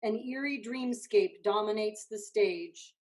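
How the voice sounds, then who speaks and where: A woman's voice: mid-range voice, slow pace. A woman reading stage directions as scripted narration for a play.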